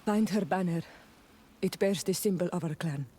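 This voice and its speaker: A woman's voice: on the low side, normal speed, dark tone, slight background noise, natural voice. An actress playing a proud, loyal young warrior woman of a tribe in a video game cutscene, with solemn, formal and intense phrasing. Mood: grieving, grave.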